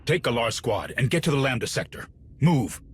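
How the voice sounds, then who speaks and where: An actor playing an urgent, gruff male military field commander with a gravelly voice in a video game. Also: mid-range voice, very animated, normal speed, a little hiss or hum, close to an everyday voice. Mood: urgent, commanding. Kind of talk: cinematic video game acting.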